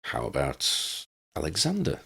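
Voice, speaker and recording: Man voicing a wry old man, gravelly, swooping. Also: very deep voice, huge pitch swings, measured pace, pushed and bright, heavily acted. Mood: wry, curious. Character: old bearded wizardly man, wry and kindly. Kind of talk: audiobook character reading.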